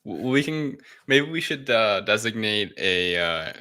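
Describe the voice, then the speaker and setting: A man with a deep voice that has a crisp, bright edge. He is a panelist in casual film review banter, speaking informally and feeling his way through his points. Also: expressive, measured pace, dry recording, clean studio sound. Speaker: podcast panelist.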